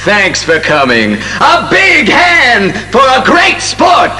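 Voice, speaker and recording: A man's voice: very high-pitched, huge pitch swings, faint music behind, exaggerated voice. A man playing a showy, patronizing game show host in an audio drama, with a hammy announcer's delivery. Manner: hammy, drawn-out announcer shouts. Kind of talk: audio drama acting as quiz show emcee.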